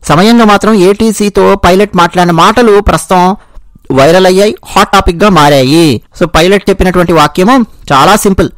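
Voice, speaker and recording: A man's voice: high voice, fairly quick, dry recording. A man with a Telugu accent giving explanatory news commentary, restating and rephrasing his points as he goes.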